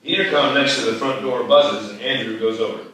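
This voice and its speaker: A man narrates screenplay directions at a live reading. His voice is bright and forceful at a middling pitch, picked up from a distance in a strongly reverberant hall. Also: brisk. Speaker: stage directions reader. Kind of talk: scripted narration at live table read. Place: live festival stage reading. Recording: very echoey hall, distant mic.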